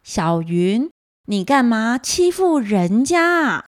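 A woman voicing a gentle, welcoming mother leopard with a soft voice, in a children's story. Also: a little high, sing-song, slow, no echo, studio quality, voice pushed down. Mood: mild scolding.

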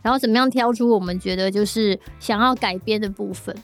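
A woman's voice: mid-range voice, a little fast, faint music behind. A Taiwanese woman hosting a casual podcast interview, chatting animatedly and repeating short phrases for emphasis. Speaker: podcast host, audio drama producer.

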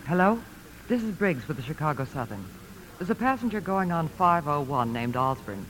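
Radio bit part: businesslike counter clerk, discreet, highish and varied in pitch. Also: male voice, sing-song, normal speed, fairly bright tone, low-quality recording. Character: airline counter clerk discreetly tipping off customs. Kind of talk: 1950s American radio drama acting. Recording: old radio broadcast, faint noise.

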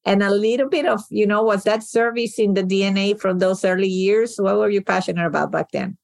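A woman's voice: mid-range voice, brisk, gravelly, a little mellow, no echo. A woman hosting an interview podcast, talking in an encouraging way with many restarts and fillers. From outside the US. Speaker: podcast host. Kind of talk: conversational interview.

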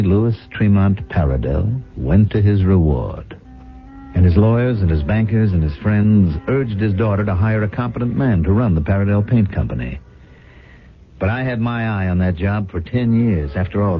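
An actor playing a cynical, scheming gold-digger husband in a radio drama, theatrical, smug and mock-tender. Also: male voice, lowish voice, animated, normal speed, faint music behind. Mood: wry narrating. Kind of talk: radio drama acting and first-person narration. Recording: dull lo-fi 1970s radio broadcast.

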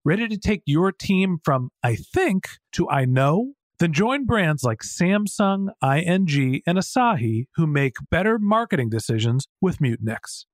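An American man reads a scripted podcast sponsor spot. His voice sits a little high for a man, and the recording is dry and free of room echo. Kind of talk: scripted ad read.

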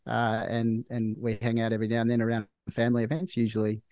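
A man's voice: mid-range voice, monotone, dry recording, highs cut off. Thoughtful Australian man, dry sound. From Melbourne, Australia. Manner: measured, thoughtful, with many restarts. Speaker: guest, hospital doctor. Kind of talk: casual interview chat.

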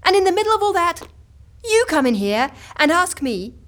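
A woman's voice: extremely high pitch, sing-song, normal speed. An actress playing an exasperated, overworked agency woman in an audio comedy, flustered and emphatic in manner. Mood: overwhelmed ranting. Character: exasperated, overworked agency woman barely holding chaos together. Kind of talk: audio comedy fiction acting.

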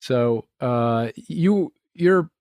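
Man, host, mid pitch, hesitant, casual, dry close sound. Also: animated, very slow, darkish tone, dry recording. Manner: hesitant, many restarts and fillers. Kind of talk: casual interview chat.

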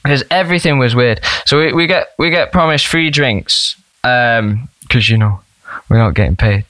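A young man, a band member chatting as a podcast guest, telling rambling, animated stories. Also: mid-range voice, moderate pace, no room sound, rough recording. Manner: animated, rambling storyteller with laughs. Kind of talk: casual storytelling chat.

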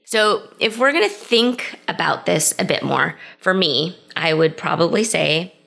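Low-voiced woman hosting a podcast. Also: animated, measured pace, gravelly, a bit bright. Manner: conversational, reflective, starts many sentences with "so". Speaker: podcast host. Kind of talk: solo podcast monologue.

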